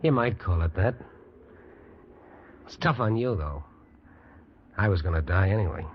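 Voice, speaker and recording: Deep-voiced, resigned fugitive. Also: male voice, expressive, a little slow. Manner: resigned, fatalistic, plainspoken. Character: resigned, wry male fugitive prisoner, freezing and worn out. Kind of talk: old-time radio drama acting. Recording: dull, noisy old radio recording.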